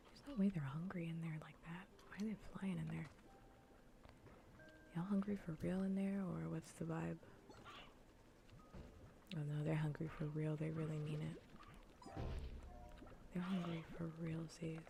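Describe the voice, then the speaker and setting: A woman speaks softly and breathily, often close to a whisper, as she streams a cozy game. Her voice sits a little low and is mellow, with plenty of creaky fry. She rambles casually and circles back over her own phrases. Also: fairly even intonation, unhurried, pauses often, slight background noise. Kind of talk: soft-spoken ASMR gaming stream chat.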